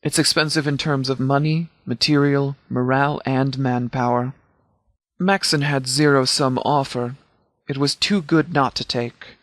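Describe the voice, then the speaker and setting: A man voicing a composed video game law officer who speaks formally and precisely, with a dry, deadpan manner. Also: mid-range voice, a little slow, dry recording, lightly acted. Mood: analytical, matter-of-fact. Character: composed, formal male sheriff's department commander, strategic and dry. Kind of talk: video game dialogue voice lines.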